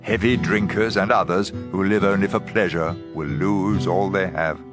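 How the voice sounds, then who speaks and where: A man's voice: deep voice, steady tone, normal speed. A man reading scripture as dramatized audio Bible narration. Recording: music bed under voice.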